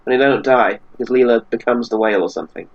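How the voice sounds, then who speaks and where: A man's voice: medium pitch, rapid-fire, muffled recording. A man chatting casually on a podcast in a jokey, flippant way. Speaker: podcast panellist. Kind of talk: casual chat.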